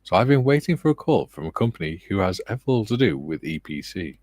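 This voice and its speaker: A middle-aged man hosting a relaxed livestream, reading viewer comments aloud with a soft, soothing, self-deprecating manner and frequent laughs. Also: sits low, brisk, dry recording, clean studio sound. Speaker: livestream host.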